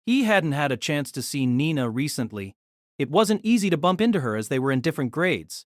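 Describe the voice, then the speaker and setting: Expressive novel narrator, lively pitch. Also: brisk, no echo, studio quality.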